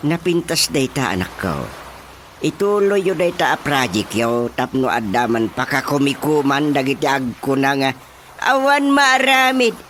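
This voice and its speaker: A man's voice: mid-range voice, big pitch swings, a little slow, fairly bright tone, slight background noise. A man acting as a supportive older father in a radio drama, advising his grown daughter with an Ilocano accent. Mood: encouraging, advising. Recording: radio drama with faint music behind.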